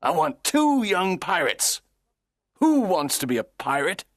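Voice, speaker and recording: Gloating pirate villain, theatrical and menacing, widely swinging pitch, for a children's storybook. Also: male voice, wildly swooping pitch, unhurried, fairly bright tone, full character voice. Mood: commanding, threatening. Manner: theatrical, taunting, swooping delivery. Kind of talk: acted children's audio story.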